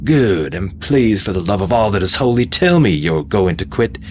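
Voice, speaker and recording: A man voicing a gruff, bullying military drill sergeant who barks sardonic taunts in an audiobook. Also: lowish voice, very animated, moderate pace, muffled recording, a little hiss or hum. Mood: mocking. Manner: loud, barking, sardonic. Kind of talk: dramatised audiobook character voice.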